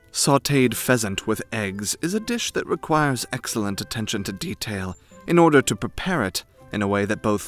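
A man playing a frightening, formal young noble retainer, dry and secretive, with pompous, precise archaic diction, in an ASMR roleplay. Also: on the low side. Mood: brisk, exacting. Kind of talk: ASMR roleplay, scripted character acting.

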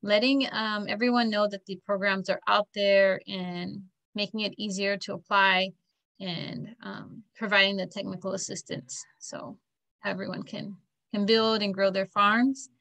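A woman in her thirties, a farmer and technical assistant from Florida, giving a steady, plain-spoken presentation over a laptop video call. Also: medium pitch, measured pace, gravelly, no room sound, rough recording. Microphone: laptop webcam on a video call.

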